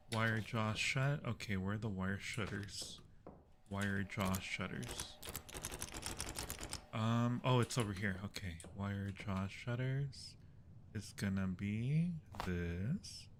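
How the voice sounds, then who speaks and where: A man with a lowish voice gives casual livestream commentary on a horror game. He talks slowly, often muttering tasks to himself, with clear noise behind him.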